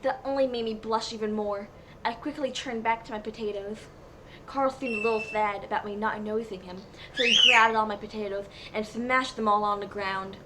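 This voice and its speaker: A woman's voice: mid-range voice, fairly even intonation, brisk. Mock-serious woman narrator over background noise. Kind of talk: comedic dramatic reading of fan fiction. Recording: clearly noisy home recording.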